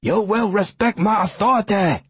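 A man voicing an excitable, cheeky talking dog, a devoted sidekick with a goofy, exclamatory way of talking in an audiobook. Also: high-pitched, moderate pace, slightly rough, no room sound, pitched above the performer's own voice, full character voice. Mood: mock authority. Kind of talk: audiobook character voice.

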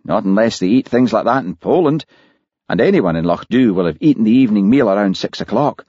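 A man voicing an exasperated but tolerant hotel manager in an audiobook story, firm and brisk. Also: on the low side, lively intonation, breathy edge, dry recording, muffled recording, voice pushed up. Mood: dry, helpful.

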